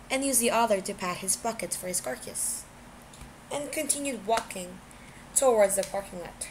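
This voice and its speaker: A woman's voice: medium pitch, rough recording. Woman narrating a story over background noise. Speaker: amateur audiobook reader.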